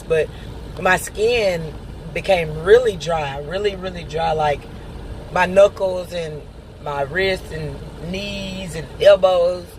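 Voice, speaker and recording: A man talks through a casual personal update, his voice sitting a little high. He rambles and stops often to gather his thoughts. A faint hum of traffic and an engine sits under his voice. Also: low-quality recording. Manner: rambling, halting with many pauses. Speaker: vlogger.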